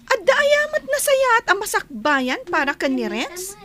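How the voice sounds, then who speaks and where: A woman with an Ilocano accent acting a lively, defiant, lovestruck teenage schoolgirl in a radio comedy drama. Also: very high-pitched, measured pace, full character voice. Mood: disdainful.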